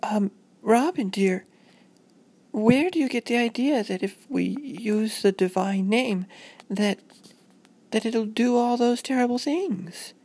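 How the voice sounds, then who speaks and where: Storybook mother, acted by a woman: gentle, patient, coaxing, slightly high lilt with some creak. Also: takes it slowly. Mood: puzzled, gentle. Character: patient, gentle mother explaining things to her child.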